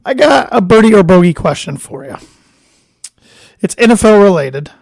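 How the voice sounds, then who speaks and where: Guy cohosting a sports podcast, kinda high voice, bit hoarse, dry close sound. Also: no echo. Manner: relaxed, conversational, reads trivia questions slowly. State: slightly hoarse. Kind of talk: casual sports chat.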